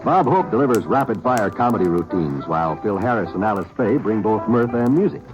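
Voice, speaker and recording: Male network announcer, 1950s broadcast; low, animated, polished reads over music. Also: brisk. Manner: polished, expressive announcer delivery. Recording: dull lo-fi 1950s radio broadcast.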